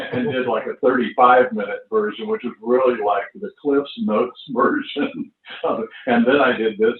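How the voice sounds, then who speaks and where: A man in his seventies, an actor casually reminiscing in an anecdotal, chuckling storytelling way. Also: fairly low pitch. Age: 70s. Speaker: actor recalling a stage show. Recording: roomy, distant, tinny phone-like call audio.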